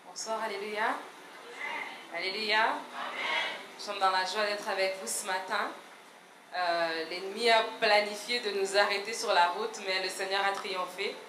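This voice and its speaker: A woman's voice: medium pitch, fairly even intonation, a little slow. A woman, the pastor's wife, giving an earnest, prayerful greeting to the congregation on a handheld mic. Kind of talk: church greeting. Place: large church hall, indoors. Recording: echoey church hall, noisy.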